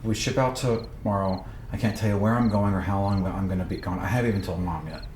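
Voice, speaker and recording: A man's voice: deep voice, fairly even intonation, quick pace, darkish tone, slight background noise, voice pushed down, natural voice. Man acting a terse, protective soldier brother, roomy echo. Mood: subdued, earnest. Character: protective older brother, stern soldier sparring with young acolyte. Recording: roomy echo, music behind.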